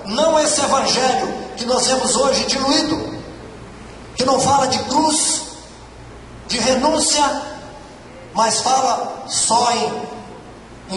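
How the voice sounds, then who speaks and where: A man, preaching an earnest sermon and repeating his key phrases to exhort the congregation. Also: very high voice. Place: large church hall. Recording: echoey church hall from far off, noisy.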